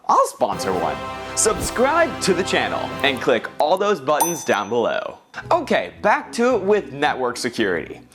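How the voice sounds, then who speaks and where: Very animated young American man presenting. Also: high-pitched. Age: young adult. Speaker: science video presenter. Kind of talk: scripted explainer narration. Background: background music.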